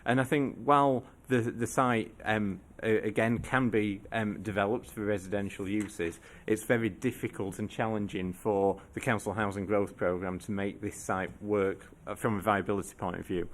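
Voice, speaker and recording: A man briefing a council committee in a measured, formal way, pausing and restarting often. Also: lowish voice, steady tone. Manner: measured, halting, frequent restarts. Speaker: council officer.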